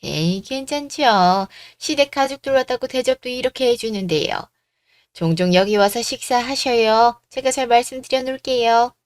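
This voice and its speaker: A woman's voice: on the high side, expressive, normal speed, no room sound, clean studio sound, close to an everyday voice. A woman voicing a haughty, condescending middle-aged future mother-in-law in a radio story drama. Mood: smug. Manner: condescending, presumptuous.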